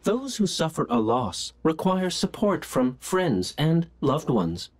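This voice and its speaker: A man playing a gentle, literal-minded healthcare robot in a video game, with polite, precise, clinical phrasing. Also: fairly high pitch, big pitch swings, slow, full character voice. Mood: gently caring.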